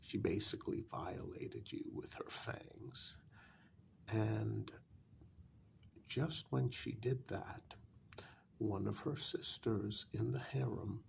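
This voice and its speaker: A man playing a calm, frank vampire in a soft-spoken, halting ASMR role-play, often dropping close to a whisper. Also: fairly low pitch, vocal fry, a little hiss or hum, exaggerated voice. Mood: matter-of-fact. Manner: slow, deliberate, halting with long pauses.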